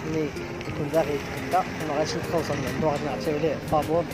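A quick, chatty man with a Moroccan Arabic accent, his voice sitting fairly high. He talks into a wired earphone mic on his phone at an outdoor market, with loud music playing behind him. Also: little pitch movement, poor audio. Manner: chatty, friendly, fast-talking. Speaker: vlogger. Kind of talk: casual street vlog. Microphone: wired earphone mic, phone at arm's length.

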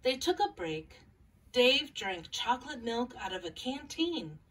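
A woman in her thirties hosting a children's storytime, reading and introducing a picture book in a measured way with pauses. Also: fairly high pitch, big pitch swings, slightly rough. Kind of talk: scripted introduction and picture-book read-aloud. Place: small furnished room at home, indoors. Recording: voice a little far from mic.

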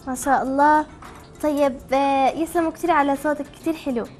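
High-pitched, very fast woman host. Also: fairly even intonation, darkish tone, faint music behind. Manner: encouraging, asks simple questions. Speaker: TV show host. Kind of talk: chatty live call-in hosting.

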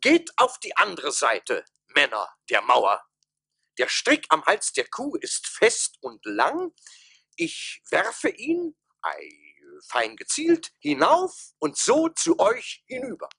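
An actor playing a pompous, henpecked town mayor with theatrical radio play delivery. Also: male voice, high-pitched, huge pitch swings, takes it slowly, gravelly, bright, forceful tone, husky, dry recording, studio quality, full character voice. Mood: eager, commanding. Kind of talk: stage-like radio play acting.

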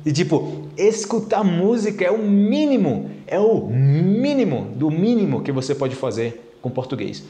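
A Brazilian man in his thirties teaching a casual video lesson to camera on a lapel mic, animated and chatty, tagging his sentences with little questions. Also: a little high, unhurried, hardly any pauses, echoey room. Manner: animated, gesturing a lot with his hands; chatty, with many tag questions. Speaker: Portuguese teacher. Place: plain-walled room indoors.

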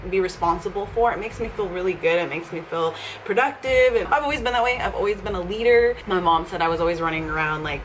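A woman's voice: on the low side, quick pace, hardly any pauses, slight background noise, rough recording. A young woman chatting casually in a vlog from a small office, upbeat and often laughing as she talks. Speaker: fitness vlogger and gym owner. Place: small office with leather couch, indoors.

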